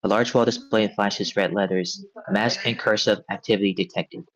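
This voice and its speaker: A man's voice: on the low side, fairly even intonation, moderate pace, a bit bright. A man reading stage directions aloud as the narrator of a script table read. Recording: dull, band-limited audio.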